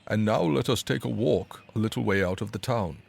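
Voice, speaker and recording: A man's voice: fairly low pitch, fairly quick, gravelly, a little mellow, husky, exaggerated voice. A man voicing a hungry, tired male dog who speaks plainly and unhurriedly. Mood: easygoing.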